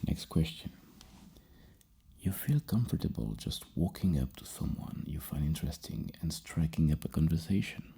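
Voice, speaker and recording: A man speaking in a soft, mostly whispered ASMR roleplay as a psychologist, breathy and halting, repeating words as he gently reassures. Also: extremely low pitch, lively intonation. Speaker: roleplay host acting as a psychologist.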